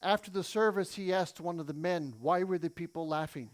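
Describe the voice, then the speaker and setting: A man's voice: high-pitched, normal speed. English-speaking man preaching in short phrases. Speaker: missionary preacher. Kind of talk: sermon with an interpreter, storytelling.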